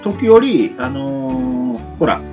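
A man hosts a loose, chatty radio show. His intonation leaps up and down wildly as he tells things like little acted scenes, with soft guitar music underneath. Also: very high-pitched, very slow, creaky. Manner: animated storyteller, acts out imagined reactions. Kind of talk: casual chat. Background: background guitar music.

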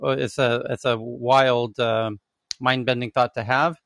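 A man's voice: medium pitch, level tone, a little slow, vocal fry, fairly bright tone, no room sound. Man, host, live audio chat, rambling, dry close sound. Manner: rambling, filler-heavy, restarts.

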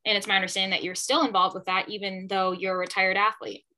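A woman's voice: mid-range voice, level tone, quick pace, bright, forceful tone, rough recording. A young woman hosting a casual interview, chatty and enthusiastic, with plenty of likes and you knows. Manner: enthusiastic, chatty, many fillers. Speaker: podcast host, former athlete.

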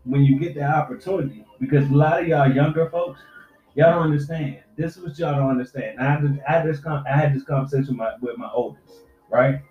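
A man's voice: on the high side, fast talker, soft background music. Preachy man in his forties, roomy. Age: 40s. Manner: preachy, profane life-advice lecturing. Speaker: podcast co-host. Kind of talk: casual podcast chat. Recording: roomy, dull lo-fi sound.

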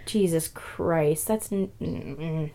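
A woman's voice: deep voice, extremely slow, vocal fry, warm tone. A woman co-hosting a podcast in casual chat, reacting with short interjections and swearing freely. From born and raised where the show is made. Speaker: podcast co-host.

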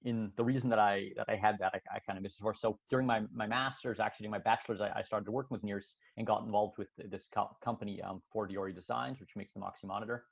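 A low, gravelly man's voice, heard on a slightly dull, lo-fi recording. He is a guest on a podcast, in his thirties, talking through technical ideas casually, thinking aloud with frequent fillers and restarts. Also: steady tone, fast talker, hardly any pauses. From Switzerland, raised in Canada. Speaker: podcast guest, sports scientist. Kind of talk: casual interview explaining science.

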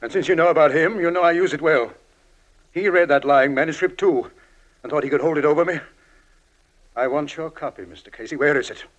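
An actor in a 1940s style radio drama playing a wealthy, middle-aged villain, formal and guarded with menace underneath. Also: male voice, on the high side, a little fast, pauses often, natural voice. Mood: menacing.

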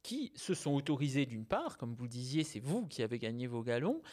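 A man's voice: medium pitch, big pitch swings, fairly quick, a little mellow. Man, interviewer, probing, long summarising questions.

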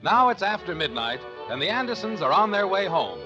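An American man announcing a vintage radio show from a script. His voice is pitched high, with prominent music behind him on a muffled old broadcast recording. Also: very animated, a little fast.

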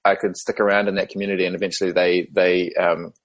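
A man with a New Zealand accent and a lowish voice, talking as a podcast guest. He speaks in a thoughtful, measured way and often pauses on "um" while he finds the next thought. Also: little pitch movement. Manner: thoughtful, measured, with frequent ums. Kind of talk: casual interview conversation.

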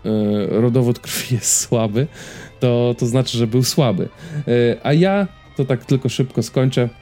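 A man's voice: fairly low pitch, dark tone. A man in his thirties chatting casually as a podcast host, rambling and hesitant, often repeating words as he goes. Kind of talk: casual chat about personal habits and series.

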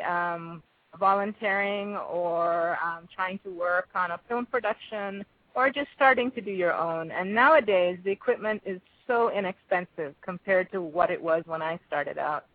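A woman's voice: medium pitch, measured pace, no room sound, narrow, tinny audio. A woman with a Jamaican accent giving thoughtful, conversational answers as an interview guest.

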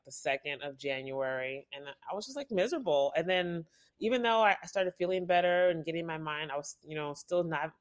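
Chatty young woman telling a story. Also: low voice. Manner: chatty, storytelling with many fillers. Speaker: podcast co-host. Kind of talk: casual chat.

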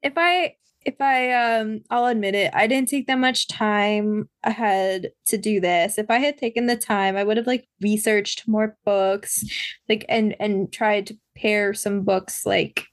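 A hoarse woman co-hosting a podcast, rambling in a casual chat with lots of fillers. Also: medium pitch, measured pace, no room sound, clean studio sound. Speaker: podcast co-host.

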